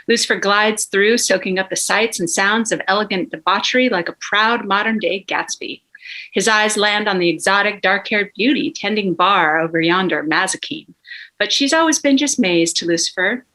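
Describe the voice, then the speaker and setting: A woman's voice: mid-range voice, pushed and bright, rough recording. A woman reading scripted stage directions as a dramatic narrator at a table read.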